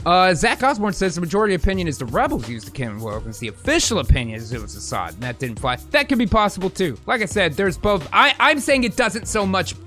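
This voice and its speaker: An American man hosting a casual livestream talk show, rambling and swearing freely as he thinks out loud. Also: high-pitched, big pitch swings, fast, few pauses, soft background music. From United States. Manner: rambling, profane, thinks aloud. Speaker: host.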